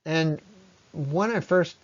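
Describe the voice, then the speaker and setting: A man presenting an explanatory slide talk in a conversational, unscripted way, with halting phrasing and frequent pauses. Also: on the high side, highs cut off. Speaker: presenter, ecology lecturer.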